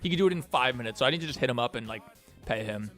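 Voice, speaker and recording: A man's voice: medium pitch, rapid-fire, soft background music. New York man, casual slangy streamer. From Queens, New York. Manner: animated, slangy, swearing, joking. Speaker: streamer and animator. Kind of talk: casual reacting and chat while working.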